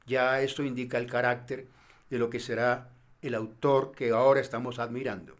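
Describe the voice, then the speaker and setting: A man giving measured explanatory commentary in formal, deliberate phrases. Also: mid-range voice, little pitch movement. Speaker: commentator on a playwright's work.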